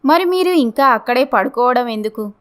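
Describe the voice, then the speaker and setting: A meek, wounded young wife in a village storybook drama , played by a woman. Her voice is high-pitched and very clear. She speaks earnestly, as if always pleading. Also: lively intonation, moderate pace, natural voice. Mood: questioning.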